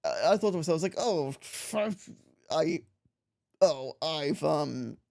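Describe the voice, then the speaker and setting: A young man from Australia talks casually on a live game stream, his voice sitting a little higher than most men's. He rambles, restarts his sentences and laughs often as he chats with viewers. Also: lively intonation, unhurried, gravelly, darkish tone. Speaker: livestreamer. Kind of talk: casual live-stream chat while gaming.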